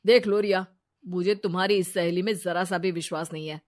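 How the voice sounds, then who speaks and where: A woman's voice: fairly low pitch, fairly even intonation, brisk, darkish tone, lightly acted. A woman voicing a stern, distrustful husband, blunt and scolding, in a moral story. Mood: suspicious.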